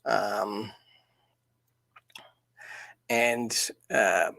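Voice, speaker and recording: A man chatting unscripted as host of a live stream, halting and searching for words. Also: lowish voice, steady tone, creaky, pushed and bright.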